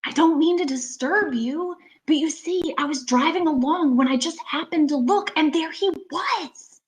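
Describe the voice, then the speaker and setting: A woman's voice: sits high, normal speed, close to an everyday voice. A woman playing a haunted, anxious motorist in an amateur radio play, narrating her journey in an earnest, dramatic way. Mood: frightened, pleading. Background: prominent music.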